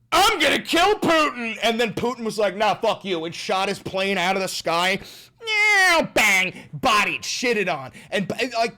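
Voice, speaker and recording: An excitable political commentary host on a sarcastic, profane rant. The voice swings up and down with a lively, animated pitch, jumping high when mocking and dropping low for asides. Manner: excitable, sarcastic, swearing, repeats punchlines.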